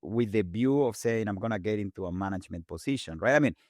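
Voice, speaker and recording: A man in his forties with a Colombian Spanish accent talks fast and eagerly, often restarting phrases. His voice sits low. The recording is dry, with no room sound. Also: no room sound. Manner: fast-talking, animated, repeats words while restarting. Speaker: guest engineer. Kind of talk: casual interview talk.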